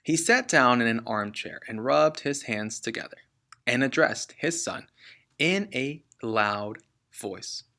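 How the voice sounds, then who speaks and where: A man reading a children's book aloud like a teacher, expressively and with deliberate emphasis on key words. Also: medium pitch, expressive, bright, forceful tone.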